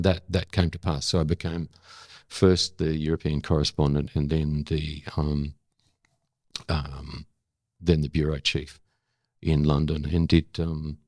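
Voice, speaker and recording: Very deep-voiced older man. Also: a little mellow, no echo, studio quality. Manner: thoughtful, halting and self-correcting. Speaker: interview guest, former journalist and actor. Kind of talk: reflective conversational storytelling.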